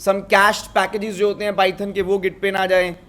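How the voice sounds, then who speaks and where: Male programming instructor, Indian accent, high-pitched voice, slow and instructive, code-switches freely, frequent check-in tags. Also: monotone, bright, forceful tone. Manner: switches between Hindi and English; instructive, often checks understanding with tag questions. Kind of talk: step-by-step live coding lesson.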